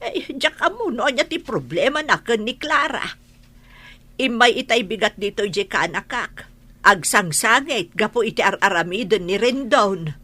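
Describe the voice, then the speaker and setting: Actress as a bright-voiced concerned older mother. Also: fairly high pitch, animated, normal speed. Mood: confiding, troubled. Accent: Ilocano. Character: concerned older mother, reassuring yet meddling. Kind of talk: radio drama acting in Ilocano.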